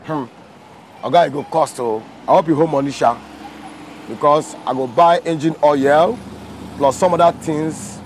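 A man with a Nigerian accent playing a smooth-talking fake mechanic, coaxing a customer outdoors on a street. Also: fairly high pitch, natural voice. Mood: persuasive. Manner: fast, persuasive, coaxing patter in Pidgin. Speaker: mechanic character. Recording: faint street noise behind.

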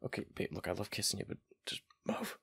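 A man voicing a flustered, affectionate young gamer boyfriend in a boyfriend ASMR roleplay, with a deep, gravelly, halting murmur. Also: fairly bright tone, no room sound, clean studio sound, lightly acted. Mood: panicked pleading.

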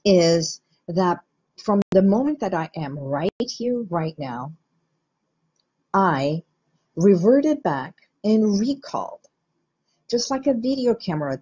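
Middle-aged woman from Iran, lowish warm voice, calm guiding teacher. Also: breathy edge, lo-fi sound. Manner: slow, measured, guiding explanation. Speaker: hypnotherapist. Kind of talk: teaching talk to camera.

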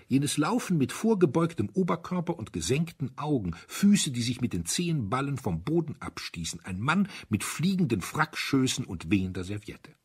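A man voicing a gentle, thoughtful priest detective, explaining a crime in a deliberate, rhetorical storybook monologue. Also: medium pitch, husky, full character voice. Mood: intrigued, musing.

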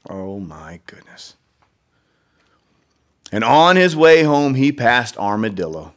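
A man's voice: medium pitch, sing-song, takes it slowly. A man reading a children's storybook expressively, with conversational asides to young listeners. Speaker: read-aloud storyteller.

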